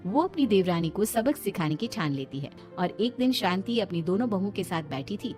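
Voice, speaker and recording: A woman's voice: mid-range voice, expressive, normal speed, a little mellow, faint music behind. A woman reading a scripted moral family story as its narrator.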